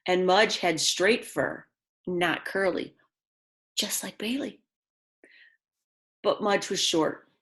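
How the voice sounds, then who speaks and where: A woman's voice: fairly low pitch, takes it slowly, long pauses, fairly bright tone. A woman teacher reading a children's book aloud, speaking expressively and directly to young listeners with chatty, simple asides.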